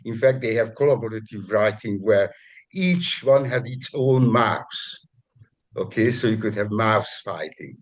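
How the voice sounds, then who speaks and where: Halting low-voiced man on a call. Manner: halting, many restarts and repeated words. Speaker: guest describing his work. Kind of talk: casual video call conversation.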